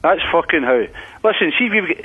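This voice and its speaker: A man's voice: sits high, fast talker, creaky, slightly rough. A man from Glasgow with a Glaswegian accent, arguing bluntly and combatively with lots of swearing over the phone. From Glasgow, Scotland. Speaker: prank call victim. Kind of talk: heated phone argument. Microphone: telephone. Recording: muffled phone line, broadcast on radio.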